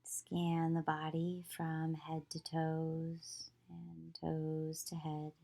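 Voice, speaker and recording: Low, very slow, unhurried woman guiding meditation. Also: monotone, vocal fry, mellow. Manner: soothing, measured, with long pauses between short phrases. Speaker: yoga nidra meditation teacher. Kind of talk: guided meditation.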